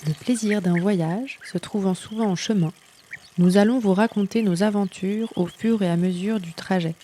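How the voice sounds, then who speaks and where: A woman co-hosting a bicycle radio project, reading a scripted presentation clearly with small hesitations. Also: mid-range voice, normal speed, mellow, a little hiss or hum.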